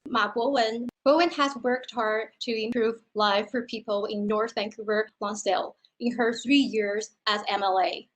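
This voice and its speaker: Woman moderator, measured and fairly high. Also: fairly bright tone, low-quality recording. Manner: measured, formal introduction. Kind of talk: reading a speaker introduction.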